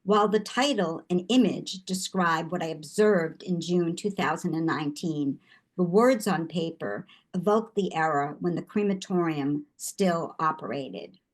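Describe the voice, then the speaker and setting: A woman's voice: lowish voice, unhurried, rough recording. An older woman, a guest artist, giving thoughtful interview answers with frequent restarts.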